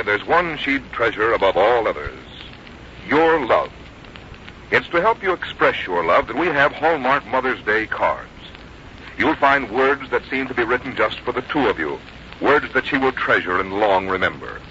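A male announcer reading a scripted sponsor message in a polished, measured, earnest 1950s radio style. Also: mid-range voice, very animated, bright, forceful tone. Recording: dull, noisy 1950s radio recording.

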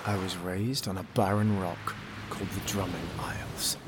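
A man performs a disgraced fantasy lord, clever and bitter. He speaks with theatrical, sardonic intensity, as in an audio drama. Clear ambient noise sits behind the voice. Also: low-pitched, fairly even intonation, normal speed, lightly acted. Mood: proud, storytelling. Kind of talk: high fantasy audio drama acting and narration.

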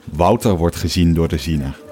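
Narrator, male: extremely low voice, reading scripted event summaries and stage directions. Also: normal speed, mellow.

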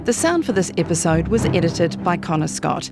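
Lowish-voiced New woman over music. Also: sing-song, moderate pace, creaky. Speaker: podcast producer and presenter. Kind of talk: scripted podcast outro. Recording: music behind the voice.

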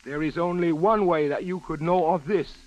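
An actor playing a dignified, guarded Chinese spice merchant with formal, measured phrasing in an old radio drama. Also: male voice, on the high side, lightly acted. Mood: guarded, firm. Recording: dull vintage radio recording with hiss.

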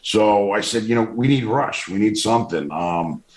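A man with a very low voice who talks loudly and forcefully, often restarting his sentences. His voice has a roomy echo around it. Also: moderate pace. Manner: loud, forceful, with many restarts and fillers. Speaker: political commentator. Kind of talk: casual interview.

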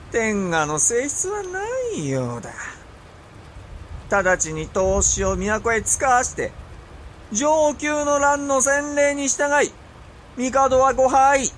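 An actor playing an imperious, impatient and suspicious medieval Japanese ruler in a period audio drama, with theatrical, drawn-out emphasis. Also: male voice, very high voice, fairly bright tone, slight background noise, full character voice, low-quality recording. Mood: imperious, displeased. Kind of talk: period radio drama acting in archaic speech.

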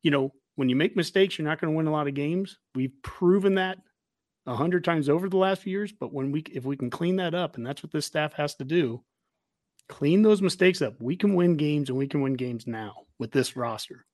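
A man with a somewhat high voice chats casually about sports on a fan show. He is emphatic and anecdotal, repeating phrases to drive a point home. The sound is dry, with no room around it. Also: moderate pace, a little mellow, dry recording.

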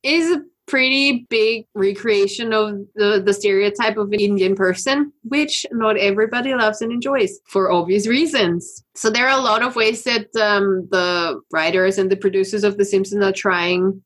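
A woman's voice: medium pitch, normal speed, hardly any pauses, a bit bright. Chatty woman from Austria, laughing often. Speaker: podcast co-host. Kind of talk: casual news chat.

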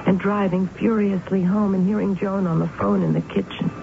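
An actress playing an anxious woman who narrates her own tense story in an old radio drama, hushed and brooding. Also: mid-range voice, steady tone, moderate pace, creaky, a little hiss or hum, lightly acted. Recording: tinny 1970s radio broadcast with loud music.

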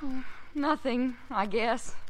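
An actress playing a flustered, well-bred young daughter, shy and smitten, with a hesitant, polite delivery in 1950s radio drama style. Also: female voice, high-pitched, slow, loud music behind the voice. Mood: embarrassed. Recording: noisy old radio broadcast recording.

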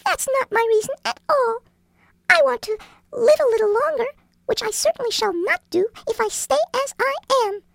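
A man voicing a timid, humble little mouse in a storybook, with a squeaky voice and a meek, pleading manner. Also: normal speed, gravelly, fairly bright tone, dry recording, clean studio sound, heavily acted. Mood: indignant, doleful. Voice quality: tiny squeaky voice. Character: timid little male mouse, frightened, humble and self-pitying. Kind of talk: storybook character voice.

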